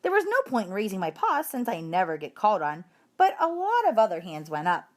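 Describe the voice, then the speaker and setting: A woman narrates a children's storybook with lively, swooping intonation. Her pitch sits in a middle range, and she leans on key words with expressive emphasis. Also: a little fast. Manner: animated, emphatic delivery. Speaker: audiobook reader.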